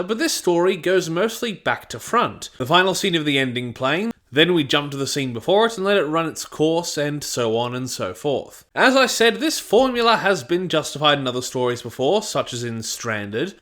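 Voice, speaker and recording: Man with lively, higher-pitched delivery. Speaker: reviewer of audio dramas. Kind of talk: scripted review narration, casual.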